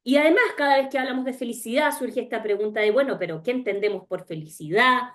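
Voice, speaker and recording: A woman with a Chilean accent speaks over a video call, her voice sitting a little high. She leads a webinar in an engaged way, posing questions to listeners and checking in with quick tags. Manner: engaged, asks rhetorical questions, often tags "¿ya?". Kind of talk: presenting a webinar.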